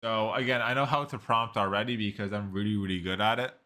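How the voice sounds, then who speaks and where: A man's voice: fairly low pitch, steady tone, fast talker, pushed and bright. A man casually reviewing an AI tool, rambling with lots of "like" and restarts. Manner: rambling, heavy use of "like", frequent restarts. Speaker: tech reviewer. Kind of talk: casual screen-recorded software review.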